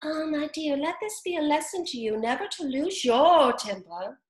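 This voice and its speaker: A woman's voice: sits high, animated, moderate pace, pitched above the performer's own voice. A woman voicing a preachy old mother crab in a storybook reading, lecturing in a moralizing way. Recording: roomy echo.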